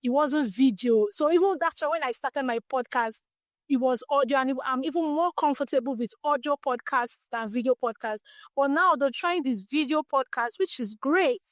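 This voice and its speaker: A woman's voice: high voice, normal speed, no echo. A woman with a Nigerian English accent hosting a solo podcast, talking earnestly and encouragingly about her path as a creative and her faith. She has a small, light voice. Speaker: podcast host. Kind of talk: reflective solo podcast talk.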